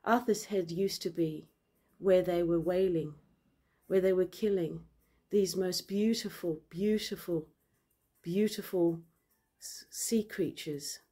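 A woman in her forties who grew up in Zambia, talking earnestly to a phone camera in a bright room with big windows, measured and then forceful. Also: on the low side, husky. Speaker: vlogger and author. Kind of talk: impassioned personal address to camera. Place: indoor room with large windows and plants. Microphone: phone camera at arm's length.

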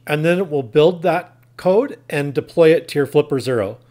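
A man hosting an instructional tutorial, narrating step by step in a plain, methodical way. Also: a little high. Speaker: tutorial host.

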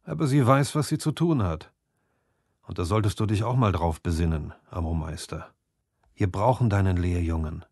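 A man's voice: sits low, normal speed, darkish tone, no echo, studio quality. Stern, duty-bound marksman, read by a man. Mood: stern, reproachful. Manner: firm, plain-spoken, admonishing.

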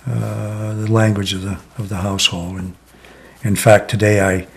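An older man in his seventies from Connecticut, reminiscing slowly in a sit-down oral history interview, with many pauses and "uh" fillers. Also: fairly low pitch, level tone, gravelly, husky. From Connecticut, USA. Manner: slow, reflective, many "uh" pauses. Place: living room, seated in armchair.

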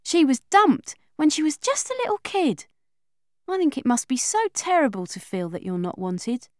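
A woman's voice: high-pitched, normal speed, no room sound, clean studio sound. A woman narrating a children's audiobook in the first person as a chatty, confiding young girl. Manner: chatty, confiding, lively schoolgirl voice.

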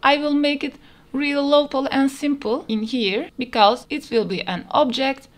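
A woman's voice: sits high, fairly even intonation, measured pace. A woman narrating a step-by-step 3D modeling tutorial in plain, instructional phrasing, recorded as a voice-over. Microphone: voice-over over screen recording.

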